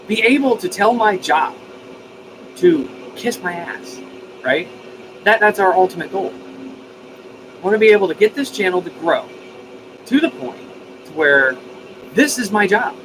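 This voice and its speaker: Enthusiastic man live streaming over background noise. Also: high-pitched. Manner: folksy, informal, mildly profane; enthusiastic, effusive. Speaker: live stream host of a DIY radio channel. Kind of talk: casual live stream chat.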